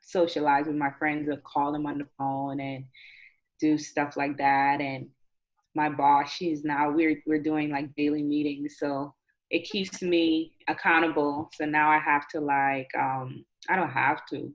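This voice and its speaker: A woman's voice: low voice, flat delivery, measured pace. A woman of twenty-eight, chatting casually and candidly as an interview guest and repeating phrases for emphasis, over a video call. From Nassau, Bahamas. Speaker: interview guest, chemistry graduate student. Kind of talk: casual chat. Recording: dull, lo-fi video call audio.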